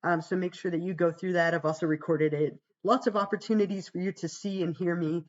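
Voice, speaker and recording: A woman psychology instructor, hoarse and gravelly from being sick, walking students through a course page in a chatty, reassuring way with many "um"s. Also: steady tone, brisk, dull audio. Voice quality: gravelly, deeper than usual. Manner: chatty, reassuring, fillers like "um" and "right?". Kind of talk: casual livestream course walkthrough.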